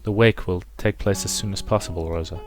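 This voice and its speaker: A man voicing a reserved, consoling gentleman friend in a visual novel, speaking gently and haltingly. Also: sits low, steady tone, close to an everyday voice. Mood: gentle, concerned. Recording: music behind the voice.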